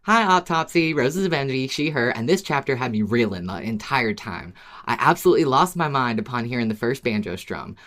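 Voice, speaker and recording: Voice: medium pitch, very animated, brisk, a bit bright. A podcast co-host with an agreeable way of talking, in casual fan discussion and reading out listener email.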